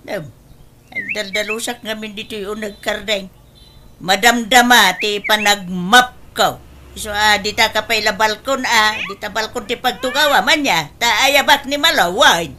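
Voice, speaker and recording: Bossy Ilocano mother of grown daughters: radio comedy, bright voice, unhurried delivery. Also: medium pitch, slight background noise. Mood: coaxing.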